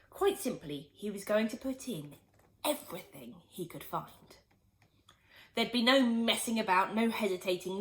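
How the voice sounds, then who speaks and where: A woman teacher reads a children's book aloud in a storytelling voice. Her pitch swings widely as she leans into words with playful emphasis. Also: rough recording. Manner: expressive storytelling, lively emphasis.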